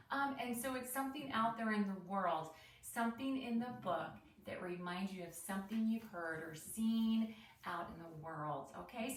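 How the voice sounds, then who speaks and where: A woman's voice: medium pitch, fairly bright tone. A woman teaching young children in a read-aloud lesson, explaining things simply and encouragingly with frequent check-in questions. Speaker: elementary teacher. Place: indoor room with pet dogs nearby. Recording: roomy echo, distant mic, background noise.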